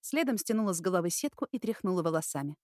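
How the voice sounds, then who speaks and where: A woman's voice: on the low side, lively intonation, quick pace, darkish tone, no room sound, clean studio sound. A woman reading scripted first-person fiction as an audiobook narrator.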